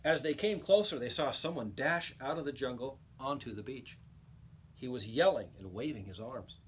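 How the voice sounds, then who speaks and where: A man reading a children's storybook aloud like a teacher, with expressive, emphatic storytelling. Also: medium pitch, moderate pace, a little hiss or hum.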